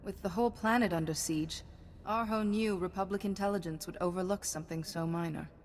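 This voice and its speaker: An actress playing a calm, self-assured female Jedi hero in a video game. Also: lowish voice, a little fast, slight background noise, lightly acted. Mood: steady. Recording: game audio with long reverb.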